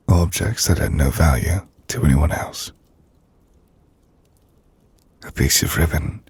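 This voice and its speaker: A man playing an ancient, brooding vampire lord in an intimate ASMR roleplay, speaking formally and drily in a gravelly, breathy near-whisper. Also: very deep voice, darkish tone, no room sound, full character voice. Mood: wistful, reflective. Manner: formal, measured, dry. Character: ancient brooding vampire lord, dryly teasing, courteous host.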